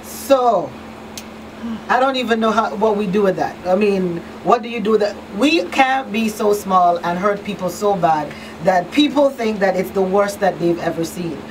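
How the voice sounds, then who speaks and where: A woman's voice: medium pitch, moderate pace. A middle-aged woman hosting a radio call-in show in a casual, informal way, thinking aloud as she asks questions. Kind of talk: casual, conversational interviewing. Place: small radio studio. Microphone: studio mic on boom arm. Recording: slightly distant mic, faint noise.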